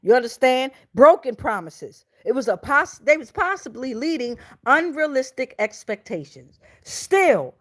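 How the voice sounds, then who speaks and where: A woman's voice: sits high, sing-song, measured pace, hardly any pauses. An American woman giving an informal tarot reading as host, blunt, foul-mouthed and emphatic, often checking in with her listeners. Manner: checks in with listeners with repeated tag questions.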